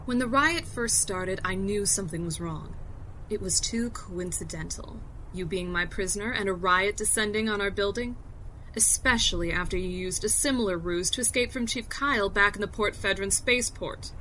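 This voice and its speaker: An actress playing a commanding fleet security captain in a science fiction audio drama, clipped and falsely polite. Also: female voice, mid-range voice, normal speed, bright, forceful tone, a little hiss or hum, close to an everyday voice, rough recording. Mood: smug. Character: commanding fleet security captain, woman, smug and coolly menacing.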